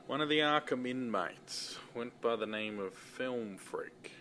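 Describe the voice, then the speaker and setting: A man playing a gruff, no-nonsense police lieutenant with a dry, curt manner in a fan-dub drama. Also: mid-range voice, expressive, unhurried, bright, forceful tone, a little hiss or hum. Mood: weary, stern.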